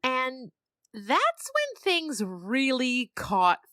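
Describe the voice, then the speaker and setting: A woman's voice: high voice, huge pitch swings, extremely slow, fairly bright tone, no room sound, clean studio sound. A middle-aged woman talking reflectively and with animation about her creative work in a conversational interview. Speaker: novelist and podcast creator being interviewed.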